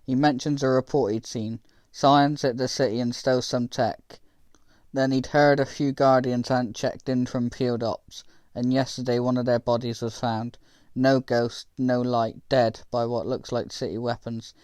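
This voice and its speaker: A man's voice: mid-range voice, unhurried, no room sound, lightly acted. A man voicing a composed game narrator who relays military reports in a matter-of-fact way. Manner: even, matter-of-fact recounting.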